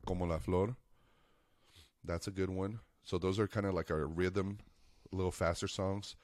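A man co-hosting a casual podcast, stuffy from allergies, telling stories in a relaxed, rambling way with lots of fillers. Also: sits low, moderate pace, no echo, clean studio sound. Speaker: podcast co-host and piano player. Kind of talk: casual chat.

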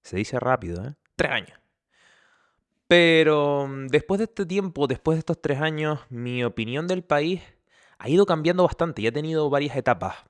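A man's voice: on the high side, animated, normal speed. Young canarian guy chatting on his home podcast, laid-back and jokey, talks to his dog between bits. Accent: Canarian Spanish. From Canary Islands, Spain. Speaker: podcast host. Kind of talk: casual chat. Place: at home, indoors.

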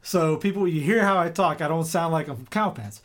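A man's voice: a little high, brisk, a bit bright. A man from South Carolina hosting a casual interview, chatty and joking as he rambles through stories. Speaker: host.

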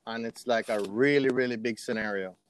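A man's voice: medium pitch, brisk, slight background noise. A man from Jamaica chatting casually as a podcast guest, telling personal anecdotes in an easygoing way.

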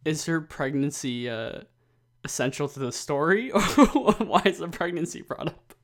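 A man's voice: a little high, expressive, measured pace. A man co-hosting a podcast, chatting casually and joking at his own expense. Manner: joking, self-deprecating, often restarts. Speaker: podcast co-host. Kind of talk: casual chat.

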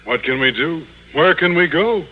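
A mild-mannered, earnest man in a vintage radio comedy. His voice runs a little high and rises and falls expressively with a forlorn air. The sound is thin and phone-like. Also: animated, normal speed, faint music behind. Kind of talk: 1940s-50s radio sitcom acting. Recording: tinny, narrowband old radio recording.

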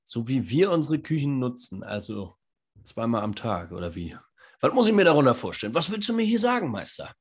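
A man from northern Germany co-hosts a casual podcast from his kitchen, his voice scratchy and husky from a cold. He talks in a dry, teasing way, full of little jokes. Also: medium pitch, very animated, fairly quick. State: hoarse from a cold. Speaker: podcast co-host. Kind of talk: casual chat. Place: home kitchen. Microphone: home mic in a kitchen.

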